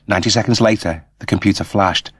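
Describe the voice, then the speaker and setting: A man's voice: lowish voice, fast talker, breathy edge, no echo, studio quality. A man giving a scripted narration of comic science fiction as an audiobook narrator.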